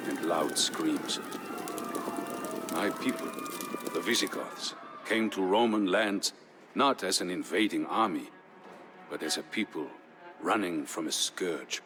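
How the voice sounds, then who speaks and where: A man narrating as a grave Visigoth storyteller, recounting war history in a solemn, measured, theatrical way for a historical game. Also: low-pitched. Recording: music behind the voice. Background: music.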